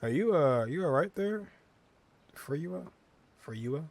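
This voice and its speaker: A man's voice: on the high side, lively intonation, slow pace, creaky, darkish tone. A man gaming streamer giving casual, reactive gameplay commentary.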